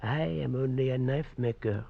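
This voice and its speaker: Gravelly, wise old knife maker. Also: male voice, mid-range voice. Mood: grave warning. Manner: measured, fatherly, cryptic. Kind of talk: 1940s radio drama acting. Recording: dull vintage radio recording.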